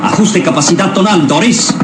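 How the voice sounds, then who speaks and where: Radio drama role: insistent male general played by an actor, high voice, echoey and very noisy. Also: normal speed, close to an everyday voice. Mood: urgent, commanding. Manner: insistent, emphatic, repeating orders. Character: urgent commanding male general at mission control. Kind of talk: Spanish-language radio serial acting.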